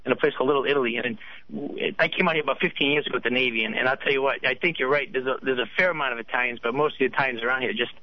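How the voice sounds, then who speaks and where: Fast-talking male radio caller. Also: medium pitch. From Rhode Island, US. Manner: rambling, many restarts. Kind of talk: phone-in chat. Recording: slightly dull radio audio.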